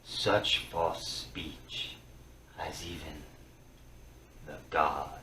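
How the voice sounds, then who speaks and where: A low-pitched man recites myth as a storyteller, solemn and theatrical. He goes extremely slowly, breaking lines into short phrases that move between hushed and booming. The sound is very roomy. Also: steady tone. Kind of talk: dramatic poetry reading. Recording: roomy, distant mic with faint noise.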